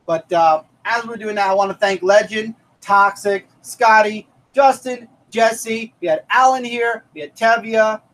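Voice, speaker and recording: A man's voice: high voice, steady tone, measured pace, few pauses, fairly bright tone, poor audio. A man in his thirties hosting a casual comic review live stream, upbeat and thanking viewers by name. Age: 30s.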